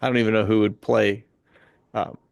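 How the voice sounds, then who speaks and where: A man chatting casually on a podcast, slangy and jokey, with an excitable way of talking. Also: on the low side, normal speed, creaky, dry recording, studio quality.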